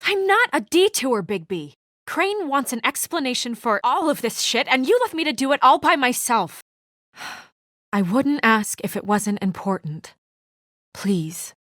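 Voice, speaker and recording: A woman's voice: medium pitch, a bit bright, dry recording, clean studio sound. A woman playing an earnest, businesslike young woman with office authority and a dryly exasperated edge, in naturalistic video game acting. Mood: angry, frustrated, then pleading.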